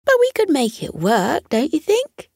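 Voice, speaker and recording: A woman's voice: high-pitched, huge pitch swings, unhurried, darkish tone, no echo, studio quality, voice pushed up, full character voice. A woman voicing a kind, earnest male fox who makes tentative, coaxing offers in a children's bedtime story. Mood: hopeful. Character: eager, kind male fox with a big idea.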